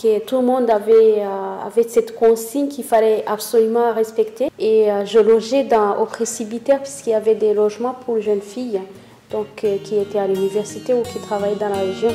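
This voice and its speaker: A woman in her fifties from Rwanda, giving her testimony in a halting way, pausing often to search for words. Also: mid-range voice, mellow, echoey room. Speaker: interviewee, witness. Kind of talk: spoken testimony.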